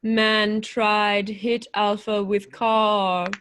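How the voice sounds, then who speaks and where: A woman playing an emotionless living machine in a radio play, speaking in flat, clipped, blunt phrases. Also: mid-range voice, clear, resonant tone. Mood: flat, matter-of-fact. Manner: flat, slow, clipped delivery. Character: emotionless living machine man, blunt, menacing, primitive speech. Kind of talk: old-time radio play acting.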